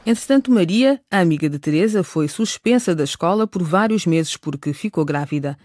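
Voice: medium pitch, animated, brisk, a little mellow, dry recording, clean studio sound. A narrator giving a scripted recap and introduction for a radio drama.

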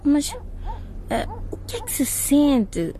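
A woman's voice: sits high, huge pitch swings, takes it slowly, mellow, a little hiss or hum, full character voice. A woman playing a naive, anxious teenage schoolgirl in a radio drama.

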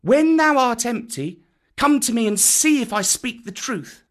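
A man's voice: extremely high pitch, expressive, a little slow, fairly bright tone, voice pushed up, heavily acted. A man reading a storybook aloud, voicing a small jungle boy who speaks in formal, earnest old-style phrases.

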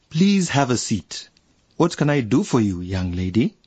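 Brusque, husky older official. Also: male voice, mid-range voice, sing-song, takes it slowly, a little mellow, no echo, exaggerated voice. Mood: businesslike. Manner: condescending, curt, officious. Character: brusque older male electoral commissioner, dismissive and irritable. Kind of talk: radio drama acting.

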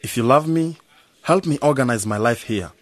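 An actor playing a stubborn, devoted village man in a radio drama, with a husky voice. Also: mid-range voice, normal speed, dry recording, soft background music, close to an everyday voice. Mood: firm, affectionate.

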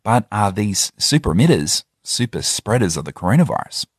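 A man's voice: fairly low pitch, very animated, fairly quick, breathy edge, dry recording, studio quality. A man narrating a news report in a scripted way, linking interview clips.

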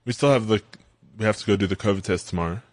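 A man's voice: fairly low pitch, rapid-fire, no echo. A South African man co-hosting a podcast, chatting casually and telling stories with frequent restarts. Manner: storytelling, repeats words, relaxed. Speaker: podcast co-host. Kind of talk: casual chat.

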